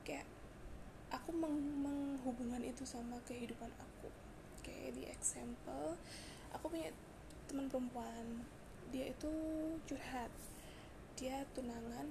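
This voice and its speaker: A hoarse young woman hosting a casual solo podcast, chatty and confiding, with frequent fillers and restarts. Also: high-pitched, background noise. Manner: chatty, confiding, mixes in English words, many fillers and restarts. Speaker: podcast host.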